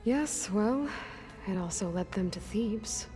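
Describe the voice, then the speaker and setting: A woman's voice: mid-range voice, expressive, slow pace, music in the background. A woman voicing a guarded, determined young female warrior in a video game, with dry, sardonic delivery. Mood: earnest.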